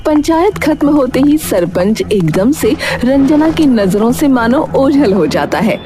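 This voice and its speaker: Lively woman narrating over music. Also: high-pitched, a little slow. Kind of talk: scripted dramatic storytelling for a Hindi cartoon. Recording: music clearly under the voice.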